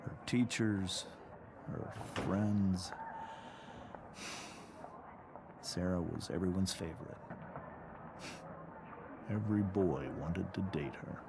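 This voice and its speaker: An actor playing a troubled middle-aged American father in a video game, confessing a painful past to his daughter in measured, halting phrases. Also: sits low, creaky, noisy background, full character voice. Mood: wistful. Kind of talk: dramatic video game monologue.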